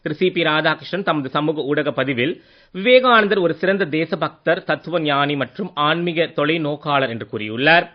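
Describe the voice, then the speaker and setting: A man reads a formal scripted radio news bulletin in a measured manner. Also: on the high side, expressive. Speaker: news reader. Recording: dull lo-fi radio sound.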